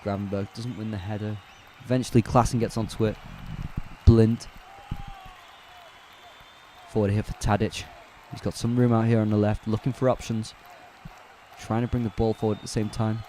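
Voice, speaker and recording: A man with a lowish, mellow voice gives casual live play-by-play of a football match for a fan stream. He talks in a relaxed, chatty way, correcting names and answering viewers' questions as he goes. A faint hiss of noise sits behind him. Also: moderate pace, pauses often. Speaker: match commentator on a fan watch-along stream.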